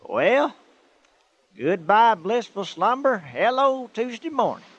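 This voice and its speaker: An actor playing a folksy, excitable manure hauler with a Southern US accent, talking to himself in a radio comedy. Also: male voice, high voice, takes it slowly, muffled recording, exaggerated voice. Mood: groggy, resigned. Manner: folksy, exclamatory, talks to himself. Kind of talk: old-time radio comedy acting. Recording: noisy radio-play recording.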